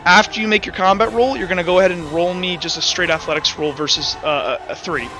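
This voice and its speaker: A man acting as game master, narrating and explaining rules in a halting, self-correcting way. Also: high-pitched, over music. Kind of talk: improvised tabletop game narration and rules talk.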